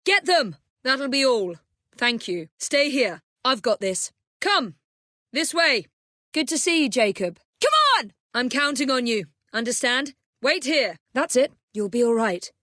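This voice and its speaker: A woman's voice: sits high, expressive, a little slow, a bit bright, no room sound, studio quality. An actress playing a composed young Victorian woman assassin with an English accent in a video game, wry, proper and determined. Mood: urgent shout, then brisk. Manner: crisp, proper, understated.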